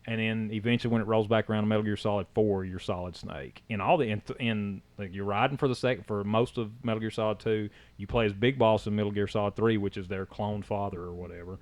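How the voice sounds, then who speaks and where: A man's voice: on the low side, fairly even intonation, fast, few pauses, a little mellow, no room sound. A man co-hosting a podcast, chatting casually in a rambling way with many restarts. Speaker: podcast co-host. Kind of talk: casual chat.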